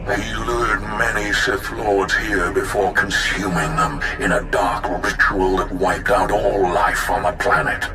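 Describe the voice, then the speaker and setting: A deep echoing ancient Sith spirit. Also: male voice, fairly even intonation, music in the background. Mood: ominous. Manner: slow, grave, commanding. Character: ancient male Sith Lord spirit, proud and weary. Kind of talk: video game cutscene acting. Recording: echoing spirit voice over game score.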